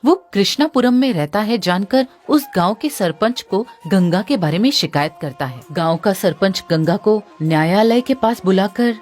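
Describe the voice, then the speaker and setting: A woman narrates a scripted moral story as if from a storybook. Her pitch is average for a woman, and music runs beneath her voice. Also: lively intonation, normal speed, few pauses, darkish tone. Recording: music under the voice.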